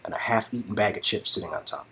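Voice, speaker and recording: A man's voice: fairly low pitch, fast talker, pushed and bright, a little hiss or hum, poor audio. A man narrating a visual novel as one of two readers, dropping into informal, jokey banter. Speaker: co-reader in a two-person game read-through.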